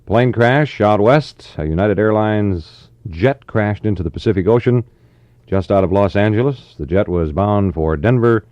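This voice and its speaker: A low-pitched American man hosts a 1960s radio show with easygoing patter and folksy asides. The sound is a muffled, roomy AM aircheck from a studio, with his voice carrying some echo. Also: expressive, moderate pace, a little mellow.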